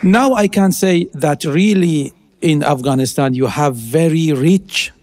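Halting middle-aged judge. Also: male voice, a little high, a little mellow, some chatter behind. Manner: halting, filler-heavy English with Arabic phrases. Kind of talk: live talent show judging.

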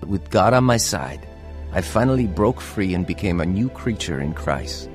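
A man's voice: low-pitched, animated, normal speed. Earnest young navy man, music behind. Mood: reflective narration. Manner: earnest, confessional. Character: troubled, earnest young navy man telling his conversion. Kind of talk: radio drama narration and acting.

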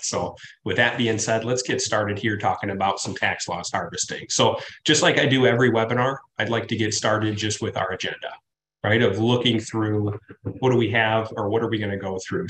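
A man's voice: on the low side, fairly bright tone. Stuffy-nosed man presenting a webinar. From Midwest US. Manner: conversational, frequent "right?" and "uh" fillers. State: stuffy from a cold. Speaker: financial planner presenting a webinar. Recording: roomy office echo.

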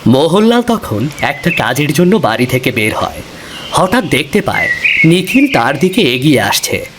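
A man's voice: on the high side, very animated, moderate pace, noisy background. A man reading scripted narration for a cartoon fairy tale.

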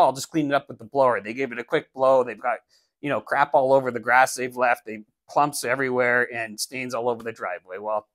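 A man's voice: medium pitch, no echo. A man in his forties who runs a landscaping business, giving a casual how-to talk to camera, blunt and practical, on a lapel mic in a small studio. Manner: blunt, practical, animated gestures while talking. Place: indoor studio, plain backdrop.

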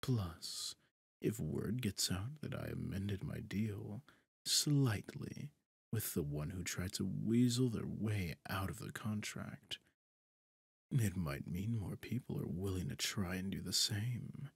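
A man voicing a possessive, suave demon lord in a roleplay monologue, pompous and deliberate, often dropping into breathy half-whispers. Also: low-pitched, lively intonation, no room sound, full character voice. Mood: smug, scheming. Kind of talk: scripted ASMR roleplay monologue.

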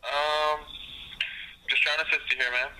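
A man's voice: on the high side, level tone, moderate pace, bright, forceful tone. A polite, hesitant man working as a customer service representative on a phone call. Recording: noisy telephone line.

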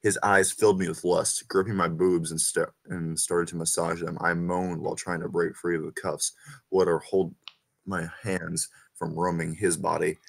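A man's voice: low-pitched, little pitch movement, moderate pace, creaky. A man reading a story aloud informally, stumbling now and then over the text and speaking in a casual, amateur way. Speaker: reader of fan fiction over gameplay.

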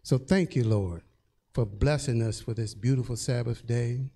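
An older man leading a steady, earnest closing prayer in a church sanctuary, speaking into a handheld mic. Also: mid-range voice, normal speed, husky.

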